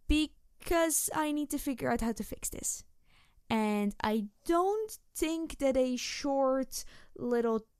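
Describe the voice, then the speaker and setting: A woman voicing an excitable, playful young tanuki spirit in a roleplay audio, rambling and stammering as she talks to the listener. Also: a little high, smooth, dry recording, studio quality.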